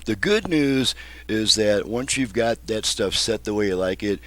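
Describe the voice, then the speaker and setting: A man's voice: on the low side, lively intonation, a little slow, fairly bright tone, no echo. A man giving a casual hands-on demonstration as a repair technician, explaining methodically in plain words, step by step. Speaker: radio repair technician, video host.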